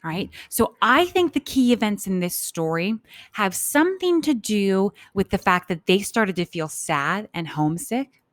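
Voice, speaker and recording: A woman's voice: medium pitch, lively intonation, unhurried, no room sound, clean studio sound. A woman teacher reading a story aloud for children and explaining it informally as she goes. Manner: informal, explanatory, acts out thoughts.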